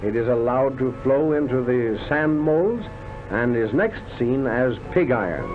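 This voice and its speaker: A man's voice: medium pitch, animated, unhurried. A man delivering scripted 1930s newsreel-style documentary narration in a declamatory, florid showman's manner. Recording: tinny band-limited vintage film soundtrack, loud music. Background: loud background music.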